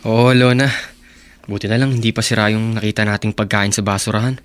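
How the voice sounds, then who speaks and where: A man playing a stubborn, lovestruck teenage son who lives rough, in a radio drama. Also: lowish voice, steady tone, a little fast, close to an everyday voice. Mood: tired. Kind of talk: Tagalog radio drama acting.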